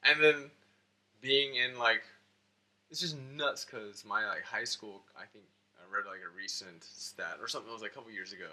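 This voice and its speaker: Low-pitched American man, bright, rambling talker. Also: normal speed, rough recording. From United States (Florida and Georgia). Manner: rambling, informal, peppered with "like". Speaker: interview guest. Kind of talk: casual conversational interview.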